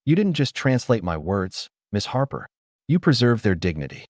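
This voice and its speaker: Calm dignified rich mentor guy, man reading it, slow and steady. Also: mid-range voice, no room sound, studio quality, lightly acted. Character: calm, composed male billionaire mentor.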